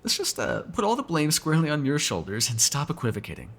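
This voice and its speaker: A sardonic male vampire, played by a male voice actor in an intimate roleplay audio. His voice is husky and crackles with fry, and it rises and dips freely as he needles and swears. Beneath the sarcasm he is both predatory and fond. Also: a little high, wildly swooping pitch, quick pace, a bit bright, exaggerated voice. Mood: teasing, exasperated. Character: snarky, teasing male vampire captive, predatory yet fond. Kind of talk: ASMR roleplay acting.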